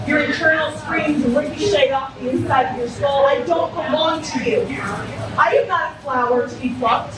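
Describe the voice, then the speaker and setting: A woman's voice: high-pitched, moderate pace, background noise. A woman in her thirties performing spoken word poetry at an open mic in a small bar, reading from a book with intense, impassioned delivery. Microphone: stand mic, filmed by a far camera. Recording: echoey bar, from far off. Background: bar ambience.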